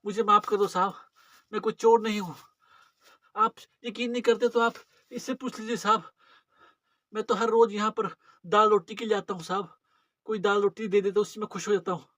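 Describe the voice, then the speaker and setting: Guy doing a scared hungry beggar, grovelling and begging over and over, bright dry sound, dramatic monologue. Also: high voice, steady tone, normal speed, pauses often, no room sound. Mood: frightened pleading. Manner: pleading, repetitive, grovelling. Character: terrified, hungry male beggar pleading for mercy.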